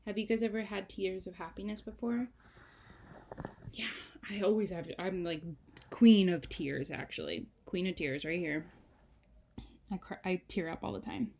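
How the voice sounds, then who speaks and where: A woman reading a children's storybook aloud like a teacher, with dramatic emphasis, hushed moments and chatty asides to young listeners. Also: mid-range voice, moderate pace, gravelly, a little hiss or hum.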